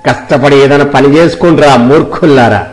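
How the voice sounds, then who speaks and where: A man's voice: on the high side, fast talker, natural voice, rough recording. A man voicing a loyal, boastful reformed bandit with an emphatic, righteous manner in a moral story. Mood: indignant scolding.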